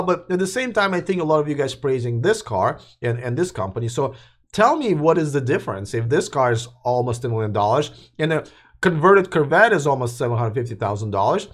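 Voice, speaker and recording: Male live-stream host, voice fading hoarse, chatty and rambling, talks to his chat. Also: fairly high pitch. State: losing his voice, coughing. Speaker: host of a live electric car news show. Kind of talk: casual live-stream commentary.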